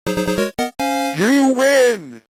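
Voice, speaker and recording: An excitable arcade machine brawler. The short calls are very high and swoop widely, under loud music. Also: male voice, very slow, exaggerated voice. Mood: competitive. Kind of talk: video game announcer-like voice lines.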